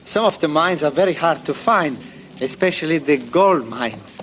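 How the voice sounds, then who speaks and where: An actor playing a sly older Mexican horse renter with a Mexican Spanish accent, in 1940s radio drama style, speaking in a wheedling, insinuating way. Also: male voice, a little high, animated, moderate pace, fairly bright tone, a little hiss or hum. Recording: old radio broadcast with music.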